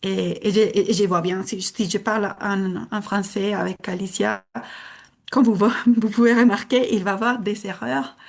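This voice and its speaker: A woman's voice: medium pitch, fairly even intonation, moderate pace, gravelly. Woman presenting. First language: Spanish. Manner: earnest, explanatory, hesitant. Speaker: conference speaker. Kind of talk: conference presentation.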